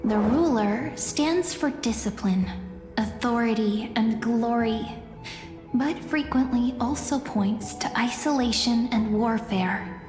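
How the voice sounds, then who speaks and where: Game casting: mystical young female fortune-teller, gentle, fairly high, slow, echoing. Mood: serene, mystical. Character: gentle young female oracle card reader, serene, mystical. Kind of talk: scripted game voice acting. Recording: echoing hall reverb, faint music.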